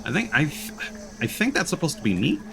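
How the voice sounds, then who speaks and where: A man's voice: fairly high pitch, very animated, fairly quick, gravelly, crowd noise. A man giving casual let's play commentary as a game streamer. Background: game music.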